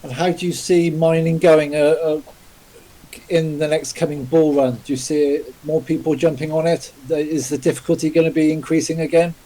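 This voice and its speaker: Mellow-voiced man hosting a podcast. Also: a little high, little pitch movement, moderate pace, poor audio. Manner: polite, formal, filler-heavy. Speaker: podcast host, interviewer. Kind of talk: hosting a live podcast interview. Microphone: remote voice chat call.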